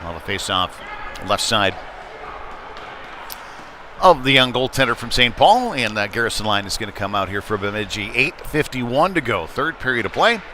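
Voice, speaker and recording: A man's voice: mid-range voice, sing-song, normal speed, noisy background. A man giving live play-by-play commentary of a youth ice hockey game, with conversational, folksy asides.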